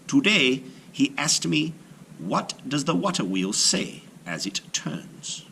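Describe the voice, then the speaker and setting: A man's voice: mid-range voice, big pitch swings, slow pace, gravelly, sharp tone, a little hiss or hum, full character voice. A man voicing an anxious, earnest, honest coppersmith father in a storybook folktale. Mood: miserable. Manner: miserable, earnest.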